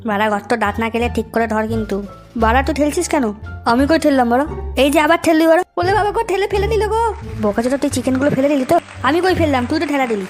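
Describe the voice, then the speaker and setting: A man voicing a hapless, hot-headed young troublemaker in an amateur comedy cartoon, whiny and excitable, with a raised-pitch effect. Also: very fast, faint music behind. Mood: quarrelsome.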